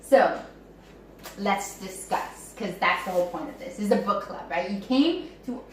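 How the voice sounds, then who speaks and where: A playful, chatty woman bantering in a casual home video. Her voice is mid-pitched and carries from a distance off the mic. The room is very echoey, with a low hiss of background noise. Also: expressive, normal speed. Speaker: co-host of book club video. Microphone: camera mic at a distance.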